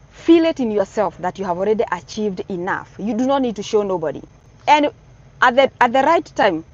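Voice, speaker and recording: A woman's voice: a little high, no room sound, lo-fi sound. A middle-aged woman from Kenya with a Kenyan accent, earnestly giving casual advice in a vlog, repeating her warnings for emphasis. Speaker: vlogger giving advice to newcomers.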